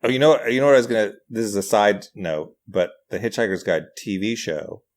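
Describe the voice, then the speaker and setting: Low-pitched man in casual podcast chat. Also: expressive, fairly quick. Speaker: guest, comedy writer and actor.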